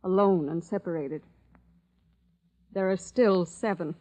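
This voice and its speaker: An actress playing the leader's calm, steadfast wife in 1940s radio drama style, gentle and quietly reassuring. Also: female voice, on the low side, slow.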